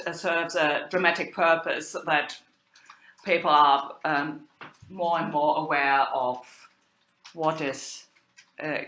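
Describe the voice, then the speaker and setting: A woman giving an academic lecture as a university lecturer, speaking haltingly and thinking aloud. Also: fairly low pitch, sharp tone. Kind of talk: academic lecture on medieval drama. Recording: roomy, echoing sound.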